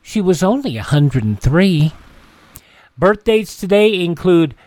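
A man presents as a radio host in an informal announcing style. His voice sits a little high for a man, and the sound is dry and close. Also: big pitch swings, unhurried, a little mellow, no echo.

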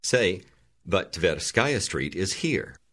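A deep-voiced man narrates a language lesson, giving calm and clear prompts. His low voice still moves up and down expressively as he guides each exercise. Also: extremely slow. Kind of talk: scripted instructional narration.

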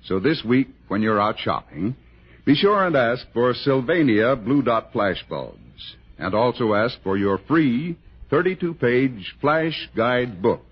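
A man's voice: mid-range voice, very animated, slow, husky. An American man reading scripted announcements and narration in the style of 1960s radio. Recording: lo-fi vintage radio broadcast.

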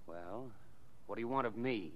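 A man's voice: fairly low pitch, normal speed, lightly acted. An actor playing a curt, guarded businessman under suspicion in a 1940s radio drama. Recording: dull, lo-fi 1940s radio recording.

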